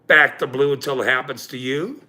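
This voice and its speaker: A man in his forties hosting a livestream, ranting angrily with profanity and loud outbursts, then joking about himself. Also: medium pitch, fairly quick. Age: 40s. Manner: angry, profane, with loud outbursts and self-mocking laughs. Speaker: channel host. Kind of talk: livestream rant.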